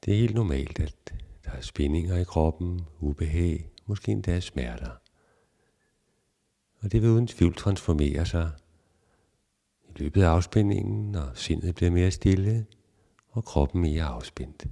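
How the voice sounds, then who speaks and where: A man guiding a relaxation meditation in a calm, measured, instructional way with long pauses. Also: sits low, gravelly. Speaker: yoga nidra guide.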